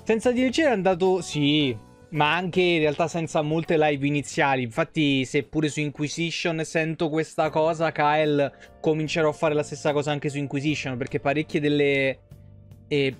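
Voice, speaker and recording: A young man, chatting casually on a game livestream in an informal, rambling, sweary way. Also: on the high side. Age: young adult. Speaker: video game streamer. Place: small room indoors at a streaming desk. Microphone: desk microphone close up, with headset.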